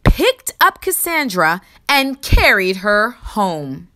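A woman reading a children's story aloud in expressive narration, with deliberate, theatrical emphasis. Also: mid-range voice.

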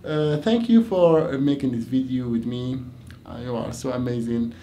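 A man in his twenties, an English teacher, doing a playful classroom dialogue in a meeting room, swinging from loud mock outrage to quiet. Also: mid-range voice, normal speed, a little hiss or hum. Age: 20s.

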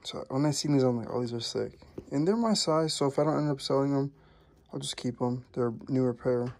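A man's voice: mid-range voice, brisk, gravelly, darkish tone. Casual rambling young man vlogging. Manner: casual, rambling, many fillers and restarts. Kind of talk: casual vlog chat.

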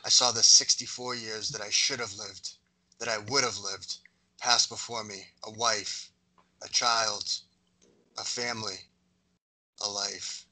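Guy reading a dying young greek man in a movie script, pleading, really sharp edgy voice. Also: on the low side, fairly even intonation, unhurried, pauses often, heavily acted, low-quality recording. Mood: wistful. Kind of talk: screenplay table read.

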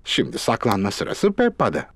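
A man narrating a children's cartoon in a clear, expressive storytelling voice. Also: mid-range voice, moderate pace, no room sound. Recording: music playing under the voice. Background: children's cartoon music.

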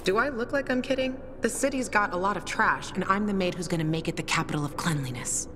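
A woman's voice: medium pitch, fast talker, noisy background, lightly acted. An actress playing a tough, cynical young female sniper in a video game, blunt and sardonic. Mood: deadpan menace. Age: young adult. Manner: blunt, sardonic, foul-mouthed. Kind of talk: video game cutscene acting. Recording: game soundtrack music behind.

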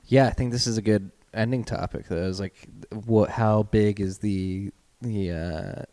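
A man's voice: fairly low pitch, steady tone, unhurried, dark tone, dry recording. A man hosting a casual podcast interview, talking loosely with lots of fillers. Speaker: podcast host.

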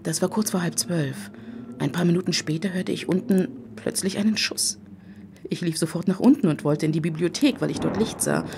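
A woman's voice: fairly low pitch, a little fast, gravelly, a little mellow, slight background noise. An actress in a radio play voicing a grieving, dignified lady of the manor who speaks in a composed, guarded, formal way. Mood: grieving, subdued.

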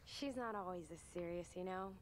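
A woman's voice: lowish voice, a little fast, a little hiss or hum, lightly acted. An actress playing a lighthearted, supportive young woman in a naturalistic video game cutscene. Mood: reassuring.

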